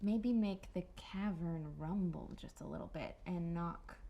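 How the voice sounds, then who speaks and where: A woman playing a tabletop roleplaying game, casual and playful, thinking out loud as she plans her moves. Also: fairly low pitch, unhurried, muffled recording. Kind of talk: casual improvised game play.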